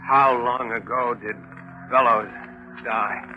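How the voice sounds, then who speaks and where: A man's voice: mid-range voice, steady tone, takes it slowly, over music, close to an everyday voice. An actor playing a weary, skeptical, plain-spoken first mate telling his tale in a 1940s radio mystery. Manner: plain-spoken, slangy storytelling. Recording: muffled 1940s radio recording.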